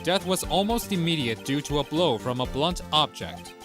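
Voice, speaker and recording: A man voicing a cool, condescending prosecutor who speaks in a composed, measured way, in a fan dub. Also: a little high, expressive, over music, lightly acted. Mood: smug. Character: cool, smug male prosecutor.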